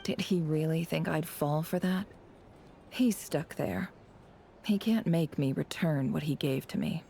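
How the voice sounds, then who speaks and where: A guarded female spymaster from a video game. Her voice is low and dark, delivered with measured, wry cynicism. Faint noise sits behind it. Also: gravelly. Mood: cynical. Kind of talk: video game character dialogue.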